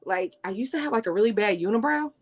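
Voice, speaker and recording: A woman hosting a casual online talk show, loud and playful, jumping her pitch up for emphasis. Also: animated, fast, a crowd in the background. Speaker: host. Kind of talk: casual chat.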